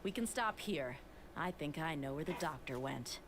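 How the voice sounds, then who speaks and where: An actress playing a confident, tough female mercenary in a game, speaking in a brisk, self-assured way. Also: low voice, expressive, heavy background noise. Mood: determined.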